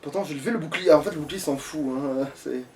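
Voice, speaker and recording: A man's voice: on the high side, fast talker, mellow. A man giving casual commentary as he plays a video game, grumbling and swearing freely. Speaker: let's play gamer. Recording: distant mic with faint noise.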